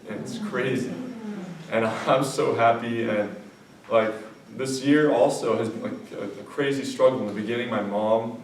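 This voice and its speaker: A man in his late teens from Croatia, telling his personal story to an audience in an informal, halting, youthful way. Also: on the low side. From Croatia, moved to Vermont as a child. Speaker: student speaker. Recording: echoey room, distant mic, faint noise.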